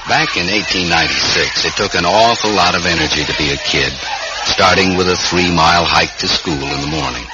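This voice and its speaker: A man's voice: sits low, brisk. A man with an American accent reading a scripted old-time radio commercial as announcer. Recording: muffled, very noisy vintage radio recording.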